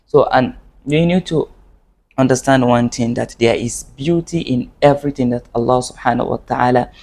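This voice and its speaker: A man's voice: medium pitch, moderate pace, vocal fry, a little mellow. A man from Nigeria, teaching an Arabic lesson to camera in an earnest way, often repeating and restarting his phrases.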